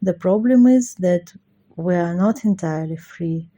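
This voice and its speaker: Slow, dark-voiced woman in her forties. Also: fairly low pitch. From Russia. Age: 40s. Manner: slow and careful, with hesitant fillers. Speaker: ceramic artist. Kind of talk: personal artist profile, speaking about her work.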